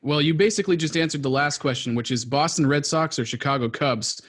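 A man's voice: fairly high pitch, brisk, a bit bright. A man from New England hosting a podcast, giving upbeat introductions and asking icebreaker questions. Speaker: podcast host.

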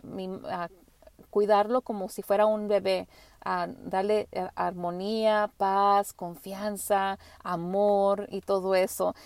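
A woman whose first language is English hosting her spiritual podcast, talking gently with frequent restarts and fillers. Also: mid-range voice, dry recording. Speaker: podcast host. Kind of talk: casual spiritual monologue.

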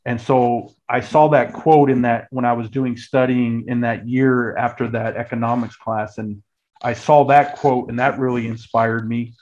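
A man's voice: mid-range voice, steady tone, unhurried, a little mellow, dull audio. An American man in his early forties telling his story in a casual, conversational way, often restarting and repeating phrases mid-thought. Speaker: guest, real estate agent.

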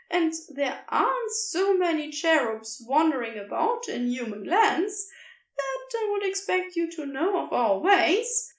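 A woman's voice: very high voice, reverberant, distant mic, pitched above the performer's own voice, full character voice, poor audio. A woman voicing a smug, playful dragon-like cherub who teases in a gleeful, theatrical, lilting way.

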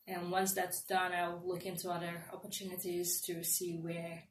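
A woman's voice: fairly low pitch, monotone, moderate pace. A young woman from Nigeria, an engineering student, answering interview questions in a loose, chatty way with fillers. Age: young adult. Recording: roomy echo, faint noise.

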